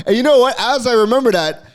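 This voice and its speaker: A middle-aged man hosting a podcast, telling a casual story in an animated way, laughing often and restarting his phrases. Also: extremely high pitch. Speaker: podcast host.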